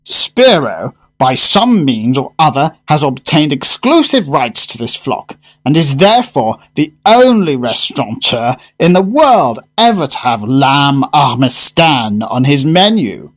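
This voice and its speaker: A man's voice: mid-range voice, sing-song, a little slow, few pauses, fairly bright tone. Radio play male actor: eccentric solitary gourmand, formal and florid, grave yet widely swooping intonation. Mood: reverent, confiding. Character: solemn, eccentric solitary gourmet, devoted restaurant regular. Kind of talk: radio drama acting.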